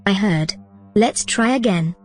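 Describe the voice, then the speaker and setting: A woman's voice: medium pitch, a little slow, natural voice. A woman playing a bold, persuasive artificial consciousness in an audio drama, confident and conversational. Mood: determined, calculating. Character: bold, persuasive female artificial consciousness plotting her escape. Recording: music under the dialogue.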